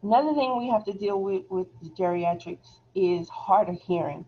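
A soft-spoken woman teaching a relaxed phlebotomy review lecture over a video call, chatty and laughing easily. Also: medium pitch, moderate pace, a little hiss or hum. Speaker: phlebotomy instructor. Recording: slightly dull video call audio.

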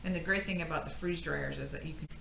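A woman's voice: sits low, quick pace, gravelly. A middle-aged American woman chatting casually to camera as a homestead vlogger, in a rambling, unscripted way that often breaks off mid-thought. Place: indoor pantry room with shelves of jars. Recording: dull, echoey room sound with faint noise.

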